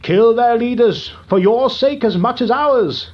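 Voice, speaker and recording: A man's voice: extremely high pitch, a little slow, voice pushed up. A man voicing a lovesick old townsman groaning about his gout, with a theatrical, fawning manner, in an audio drama. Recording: dull lo-fi recording.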